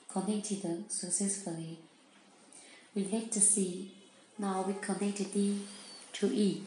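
A woman demonstrating a product in non-native English, taking each step slowly. Her phrasing is simple and halting, with repeated instructions. The room is echoey, with some background noise. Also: medium pitch, dark tone. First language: not English.

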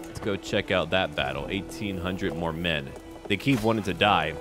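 A man's voice: deep voice, normal speed, over music. A man giving casual, offhand commentary as he plays a game, often addressing the characters aloud. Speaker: gaming video host.

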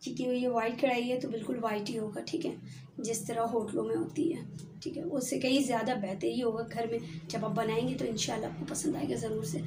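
A woman's voice: on the high side, steady tone, fairly quick. A woman hosting a home cooking video, talking casually and explaining each step as she cooks at a gas stove. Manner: chatty, explains each step and says "theek hai" often. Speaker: home cooking channel host. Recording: noisy kitchen, echoey and distant.

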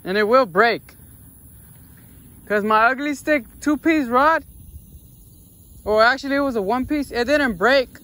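A man's voice: extremely high pitch, a little slow, long pauses, pushed and bright. An American man chatting casually and informally as a fishing vlogger, out in the open air by a pond. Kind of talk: casual chat while fishing. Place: outdoors on a grassy pond bank.

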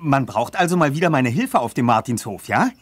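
A man voicing a cocky but good-natured teenage boy in a children's game, self-assured and expressive. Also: mid-range voice, brisk, breathy edge, dry recording. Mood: cocky, friendly. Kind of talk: scripted children's game dialogue.

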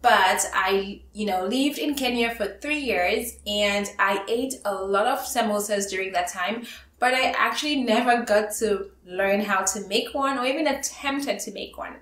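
A cheerful woman in her thirties hosts a home cooking tutorial, chatting straight to her viewers. Her voice sits at an ordinary middle pitch and she talks in a friendly, upbeat way. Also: a little slow, a bit bright. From Cameroon. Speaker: cooking show host. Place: bright home room, indoors.

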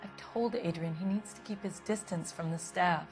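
A woman acts out a prim, middle-aged American wife in a detective game drama. She is anxious and guarded, easily affronted when questioned. Music plays clearly behind her. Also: on the low side, normal speed, lightly acted. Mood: guarded, worried. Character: prim, anxious, indignant wife of a missing man. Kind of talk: dramatic game cutscene acting.

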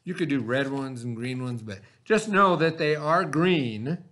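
A man in his forties, a teacher, giving slow step-by-step craft instructions to children from a classroom webcam. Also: medium pitch, expressive, a bit bright. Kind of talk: remote classroom craft lesson. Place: classroom, indoors. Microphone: webcam at desk.

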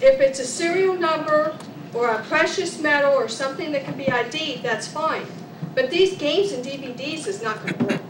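A woman in the audience at a city hearing, speaking loudly and asking pointed questions. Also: high voice, big pitch swings, normal speed. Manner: loud and questioning. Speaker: audience member at public hearing. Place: council chamber, speaking from the audience. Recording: distant voice in echoey noisy room.

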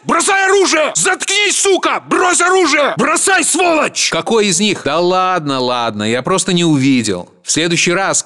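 Sardonic, higher-pitched Russian soldier. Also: male voice, pushed and bright. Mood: furious, then matter-of-fact. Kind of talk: video game military voice lines.

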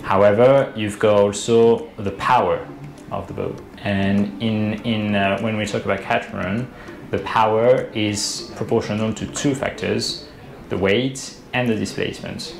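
A man's voice: on the low side, steady tone, measured pace, vocal fry. A man in his thirties, a boat designer, explaining things in an interview over a video call, hesitant with many fillers and restarts. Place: indoor room, video call. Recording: roomy webcam audio with faint hiss.

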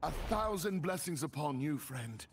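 A man's voice: on the high side, lively intonation, normal speed, far from the mic, slight background noise. An actor playing a humble, earnest villager and father in a fantasy game, rustic in manner. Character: humble, grateful male villager, worried father.

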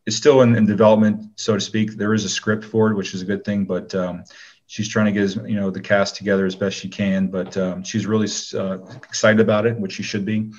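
Man, low voice, laid-back, casual interview guest, muffled lo-fi recording. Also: little pitch movement, a little fast, darkish tone. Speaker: guest, actor.

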